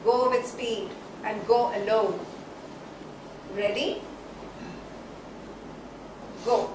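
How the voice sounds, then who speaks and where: High-pitched, dramatic poetess played by a woman for a stage play, declamatory and whimsical, faint background noise. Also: fairly even intonation. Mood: urgent, commanding. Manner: declamatory, dramatic, with emphatic repeats and drawn-out phrases. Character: theatrical, whimsical female poet musing aloud on art. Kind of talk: theatrical stage monologue, poetic recitation. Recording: distant, very echoey hall, faint noise.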